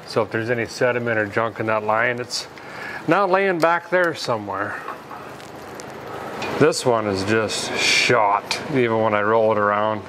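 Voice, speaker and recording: A man in his thirties talking casually as he works, with a folksy, deadpan, rambling humor, as host of a garage repair vlog. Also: fairly low pitch, a little slow. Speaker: host of a car repair show. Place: large auto repair garage with concrete floor. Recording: clear background noise, garage ambience.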